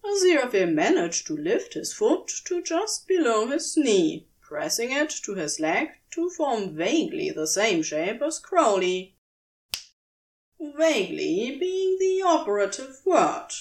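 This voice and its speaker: A woman's voice: a little high, big pitch swings, slow. A woman narrating scripted fiction as a storyteller, in audiobook style.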